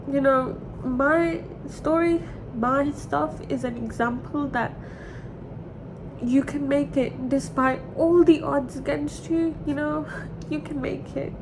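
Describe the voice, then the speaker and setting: A woman in her twenties talking candidly and emotionally in a rambling personal confession, repeating herself for emphasis. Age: 20s. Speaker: vlogger. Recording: clearly noisy with low rumble.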